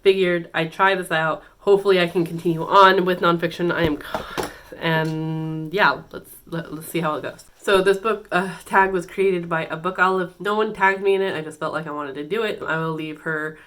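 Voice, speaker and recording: A woman's voice: fairly low pitch, fairly even intonation, moderate pace, noisy background. A woman hosting her own book channel, chatting casually with many fillers and restarts, congested and a little nasal from a cold. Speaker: BookTube channel host. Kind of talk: casual book-tag vlog.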